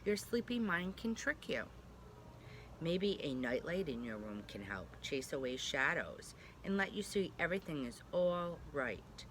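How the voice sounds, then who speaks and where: A woman's voice: low-pitched, big pitch swings, unhurried, a bit bright, a little hiss or hum. A woman pre-K teacher reading a picture book aloud to young children, speaking simply and directly to them with little check-in questions.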